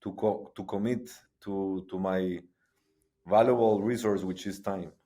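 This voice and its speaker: A middle-aged man from Guatemala, speaking as a podcast guest in halting, restarting sentences. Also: deep voice, steady tone, warm tone. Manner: halting, frequent restarts and repetitions. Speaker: guest, sports entrepreneur. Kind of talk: conversational interview.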